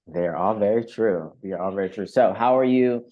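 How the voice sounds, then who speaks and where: Effusive low-voiced man hosting a podcast. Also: normal speed, lo-fi sound. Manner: effusive, hesitant phrasing with repeated words. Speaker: podcast host, interviewer. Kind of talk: conversational podcast interview.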